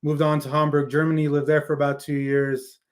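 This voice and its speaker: A man's voice: fairly high pitch, little pitch movement, a little fast. A man with an American accent, speaking as an interview guest and telling his personal story conversationally. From raised in California, born in Afghanistan.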